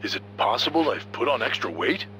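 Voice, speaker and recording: A man's voice: medium pitch, big pitch swings, brisk, pushed and bright, slight background noise, low-quality recording. A man voicing an earnest, goofy, muscle-proud strongman in a video game, musing to himself theatrically. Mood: self-absorbed, musing.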